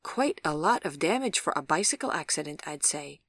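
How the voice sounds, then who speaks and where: A woman's voice: low-pitched, animated, normal speed, a bit bright, breathy edge, no echo, heavily acted. A woman voicing a male ambulance orderly in a storybook, blunt, emphatic and frankly amazed. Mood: blunt, incredulous. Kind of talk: audiobook character voice.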